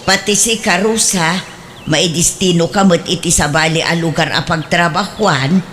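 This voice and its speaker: A woman's voice: lowish voice, moderate pace, a bit bright, slight background noise, close to an everyday voice. A woman playing an eager older mother. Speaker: mother longing for grandchildren. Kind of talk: Ilocano radio drama acting.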